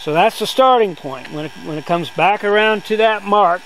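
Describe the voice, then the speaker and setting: An older man giving a relaxed, step-by-step outdoor demonstration as a sawmill instructor, talking in a plain, easygoing way. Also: high voice, normal speed, slight background noise, low-quality recording. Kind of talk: hands-on demonstration. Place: outdoors on a grassy lawn.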